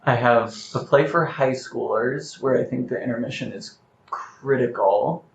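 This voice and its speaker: A man's voice: mid-range voice, a little slow, creaky. Reflective man in a roomy, muffled recording. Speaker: playwright being interviewed. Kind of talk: thoughtful interview conversation. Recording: roomy, slightly muffled, noticeable background noise.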